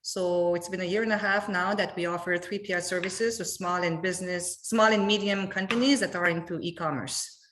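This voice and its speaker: A woman's voice: on the low side, steady tone, a little fast, fairly bright tone, a little hiss or hum. Woman, forties, easygoing panel chat, laughs a lot. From Montreal, Canada. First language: French. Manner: laughing, many fillers and restarts. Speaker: company executive guest. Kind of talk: casual panel discussion.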